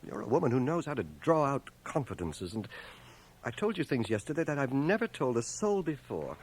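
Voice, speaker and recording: A man's voice: mid-range voice, very animated, fairly quick. An actor playing a troubled, lovestruck man of fifty, narrating his confession in a literate, earnest way for a radio drama. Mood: ashamed, distressed. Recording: 1980 radio drama on home cassette transfer.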